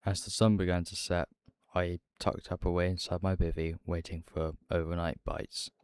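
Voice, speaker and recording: A man's voice: sits low, level tone, normal speed, darkish tone, clear, resonant tone. A man with a British accent talking casually as an angler making a fishing vlog. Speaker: angler and vlogger.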